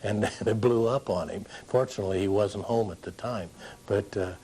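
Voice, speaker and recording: An older man tells an anecdote in a casual, halting way. His voice is very low, and there is faint noise in the room around him. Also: warm tone. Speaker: interviewee recalling the past. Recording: noisy room ambience.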